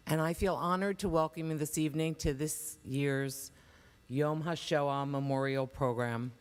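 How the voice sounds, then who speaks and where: A man's voice: fairly high pitch, smooth. A man giving a formal, courteous welcome speech as director of an organization at a memorial program. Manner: measured, courteous. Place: large hall. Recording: long hall reverb.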